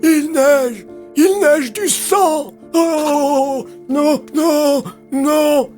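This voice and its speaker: A woman's voice: sits high, darkish tone, faint music behind. A woman playing a frail, panicking old man in an audio drama, trembling and repeating words as visions overtake them. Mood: rising panic. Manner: trembling, repetitive, slowly gasped words.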